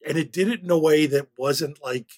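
A man chatting casually as a podcast panelist, halting yet animated, like someone telling stories. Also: a little high. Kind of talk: casual chat.

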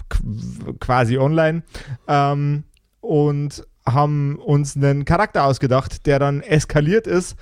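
A man hosting a casual podcast, talking in a halting, playful way and stretching out words. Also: fairly high pitch, a little mellow, dry recording, clean studio sound. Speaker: podcast host. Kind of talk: casual chat and supporter thank-you roll call.

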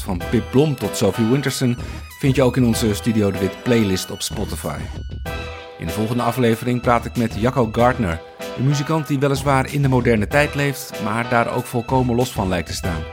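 A man's voice: mid-range voice, brisk. A man hosting a music podcast, speaking in a casual interview style.

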